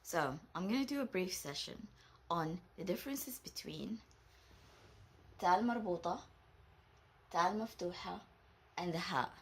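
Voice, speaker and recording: A woman whose first language is Arabic, from Saudi Arabia, teaching a patient Arabic lesson and repeating each example word slowly. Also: lowish voice, expressive, husky.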